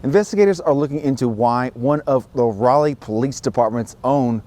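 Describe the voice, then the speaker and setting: A man's voice: mid-range voice, brisk, warm tone. A man working as a TV news reporter, delivering scripted reports and live shots with emphatic, punchy phrasing. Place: mostly outdoor standups on location, some voice-over.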